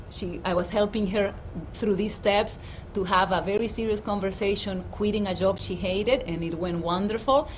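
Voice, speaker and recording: A middle-aged woman with a Dominican accent speaks as a life coach, earnest and emphatic in her delivery. Her pitch sits in an ordinary middle range. She records outdoors at home, with faint ambient sound behind her voice. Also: slight background noise, low-quality recording. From Dominican Republic. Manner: warm, earnest, emphatic presenter. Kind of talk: motivational livestream talk.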